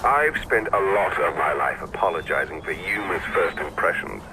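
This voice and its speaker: An actor voicing a flamboyant, smug video game dictator with a sardonic, theatrical, mock-polite delivery and casual menace. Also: male voice, low voice, lively intonation, normal speed. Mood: wry, menacing. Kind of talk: video game villain monologue. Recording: noisy game audio with background ambience.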